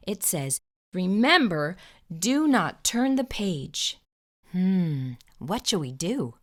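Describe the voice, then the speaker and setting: A woman hosting a children's storytime, reading a picture book aloud expressively and putting questions to her young viewers. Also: medium pitch. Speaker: children's storytime host and reader.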